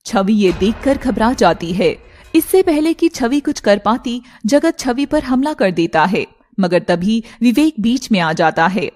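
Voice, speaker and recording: A woman's voice: fairly high pitch, animated, measured pace, darkish tone. A woman storyteller delivering scripted, dramatic narration for a cartoon tale.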